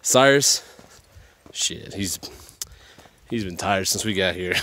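A man narrating casually while hiking a pine forest trail with his dogs, chatty and relaxed, recorded outdoors on a handheld camera. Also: low voice, slow pace, slight background noise. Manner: laid-back, chatty, talks to his dogs. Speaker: hiking vlogger.

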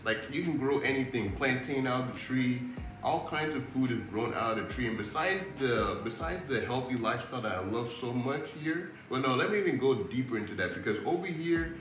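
Young American man vlogging in an echoey room. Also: fairly quick. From Houston, Texas, United States. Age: young adult. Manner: relaxed and earnest, addressing viewers as y'all, gets animated with rising pitch. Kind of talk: casual to-camera vlog talk. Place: indoor room with a fan running, seated on a sofa. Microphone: built-in camera mic at a short distance. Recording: echoey room, faint fan hum.

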